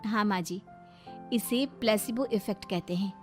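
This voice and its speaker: A woman voicing a caring young daughter-in-law in a cartoon moral story, polite, patient and clear in her reasoning. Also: mid-range voice, moderate pace, soft background music, lightly acted. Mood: calm, explaining. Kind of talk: Hindi animated moral story acting.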